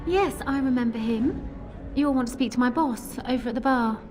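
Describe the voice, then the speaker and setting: A woman's voice: high-pitched, moderate pace, darkish tone, close to an everyday voice. A woman playing an obliging tavern server in a video game. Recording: game music under voice.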